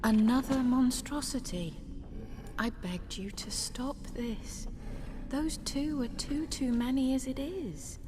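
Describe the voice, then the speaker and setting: Slow, weary, embittered noblewoman. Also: high voice. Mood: distressed. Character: weary, embittered noblewoman wife, coldly resigned. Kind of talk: dramatic video game acting. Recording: reverberant hall, noisy ambience behind.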